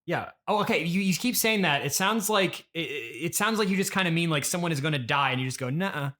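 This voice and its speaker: A man playing a sceptical podcast interviewer in a comedy sketch, questioning in a hesitant, deadpan and incredulous way. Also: fairly high pitch, fairly quick. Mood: polite, baffled.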